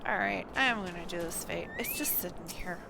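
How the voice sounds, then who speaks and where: A woman's voice: on the low side, brisk, vocal fry, very noisy. A woman live-streaming a game, making casual offhand running remarks to herself as she plays. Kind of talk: live game stream, reading dialogue aloud and reacting casually.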